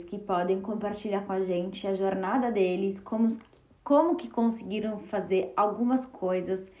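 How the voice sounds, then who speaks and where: A woman's voice: mid-range voice, a little mellow. A woman in her thirties who hosts a podcast, speaking Portuguese with a light Italian accent and a chatty, emotional manner. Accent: Italian-tinged Portuguese. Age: 30s. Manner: chatty, emotional, with filler words and restarts. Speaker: podcast host. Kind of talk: casual solo podcast talk.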